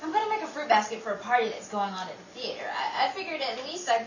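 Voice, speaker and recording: Woman acting a high-voiced, wry 1930s radio comedienne. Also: big pitch swings, fast. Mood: earnest, flustered. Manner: wry, sarcastic, wordplay-driven banter. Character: quippy young woman roommate in 1930s New York radio comedy. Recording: roomy, distant mic, noisy live audience room.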